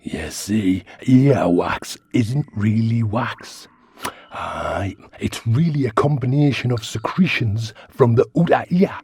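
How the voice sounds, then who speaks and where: An actor playing a cheeky, chatty giant talking worm in a radio sitcom, with a gruff, husky Geordie voice and a blunt, rambling manner. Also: male voice, on the low side, lively intonation, takes it slowly, a little mellow, exaggerated voice. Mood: musing. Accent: Geordie, northeast England. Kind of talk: comic radio sitcom acting.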